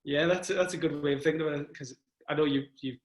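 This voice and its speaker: A man talks through a book in a relaxed, hesitant way, stopping often to search for words. His delivery is flat, and his voice rings in an echoey room. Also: on the high side, quick pace. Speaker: co-host. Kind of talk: casual conversation.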